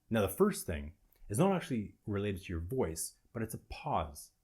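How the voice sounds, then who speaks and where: Low-pitched, husky man giving instruction. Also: a little mellow. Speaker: presentation skills coach and video host. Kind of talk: instructional talk to camera.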